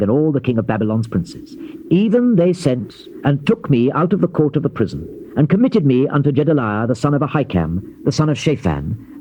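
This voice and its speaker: A man giving a solemn, measured, theatrical scripted narration of scripture in a declamatory manner. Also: mid-range voice, mellow. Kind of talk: dramatized Bible reading, theatrical scripted narration. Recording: dull lo-fi cassette with noise.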